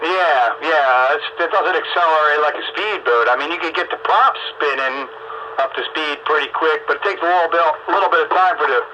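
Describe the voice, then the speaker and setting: A man's voice: a little high, fairly quick. An older man chatting casually over the radio in a matter-of-fact, explanatory way. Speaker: radio round table participant, Navy veteran. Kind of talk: casual group radio chat. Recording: muffled radio transmission with clear static.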